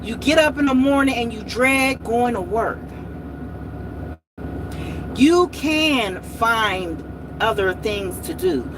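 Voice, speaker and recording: Woman in her 40s, slow encouraging vlog talk, says y'all a lot, kinda high voice, traffic noise behind. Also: animated. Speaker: host.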